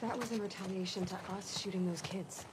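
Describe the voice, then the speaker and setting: A woman's voice: medium pitch, steady tone, fairly quick, lightly acted. An actress playing a blunt, compassionate young woman soldier in a video game, arguing back pointedly. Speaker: game character. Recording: game audio with noise behind.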